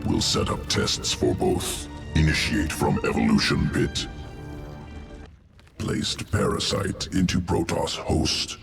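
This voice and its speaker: Super low gravelly bug alien scientist for a game, slow, cold, talks in clipped fragments. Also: male voice, animated, heavily acted. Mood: clinical, curious. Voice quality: gravelly, guttural, creaking creature rasp. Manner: clipped telegraphic sentences without articles, detached and deliberate. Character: cold, clinical alien insectoid evolution master of a monster swarm. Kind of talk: video game character acting. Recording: music behind the voice.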